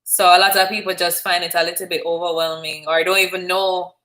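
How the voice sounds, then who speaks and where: A woman's voice: lowish voice, level tone, a little fast, sharp tone. A woman hosting a call-in live stream, chatting casually and encouraging her caller. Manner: friendly, encouraging, chatty with laughs. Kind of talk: casual chat.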